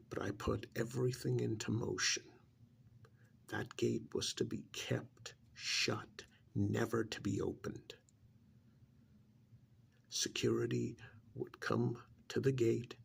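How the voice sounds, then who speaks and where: Man voicing a slow, gravelly, whispery alien creature. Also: fairly low pitch. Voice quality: gravelly, breathy, often dropping into whisper. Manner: slow, deliberate, brooding, with sighs and pauses. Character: ancient alien creature, contemptuous of humans, coldly menacing. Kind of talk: ASMR roleplay monologue.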